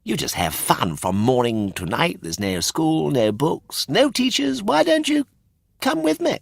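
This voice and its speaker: Man putting on a cheeky, lively naughty boy. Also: fairly high pitch, unhurried, creaky, slight background noise, voice pushed up, full character voice. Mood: enticing. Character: cheeky, carefree naughty boy tempting his friend.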